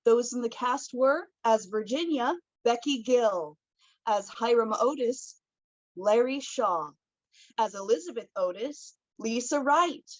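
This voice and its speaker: A woman's voice: on the high side, animated, takes it slowly. A woman announcer reading scripted closing credits for a radio play. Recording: roomy, slightly dull recording.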